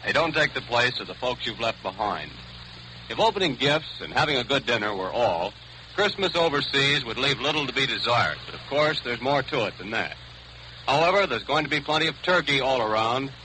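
A man's voice: medium pitch, bright, forceful tone, poor audio. An American man, an army sergeant, delivering a formal scripted report in a 1940s radio broadcast. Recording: hissy vintage 1940s overseas radio broadcast.

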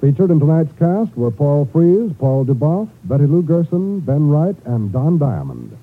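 Muffled vintage broadcast with music behind. An American male announcer in 1950s radio style, fairly high and very animated in pitch, reading scripted promos and credits. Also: moderate pace. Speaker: network announcer.

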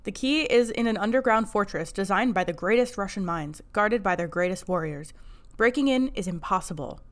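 A woman's voice: mid-range voice, expressive, a little fast. A woman co-hosting a podcast, chatting casually and reading recap narration. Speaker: podcast co-host.

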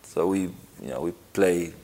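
Argentine-born man, middle-aged, interviewee; lowish voice, halting, repeats himself. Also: warm tone. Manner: halting, repeats phrases, frequent "you know". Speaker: interviewed footballer. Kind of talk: relaxed interview answers.

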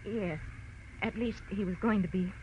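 A woman's voice: medium pitch, normal speed, close to an everyday voice. Actress as a frightened woman in radio mystery. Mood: anxious. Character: frightened, anxious young woman in a detective mystery. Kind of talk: 1940s radio drama acting. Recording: muffled, noisy old radio recording.

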